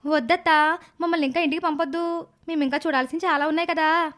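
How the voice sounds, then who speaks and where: Woman playing a high-pitched, silly young niece. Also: steady tone, fast, fairly bright tone. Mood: anxious, pleading. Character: silly, stubborn, quarrelsome young niece, girlish and petulant. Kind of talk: animated moral-story cartoon dialogue.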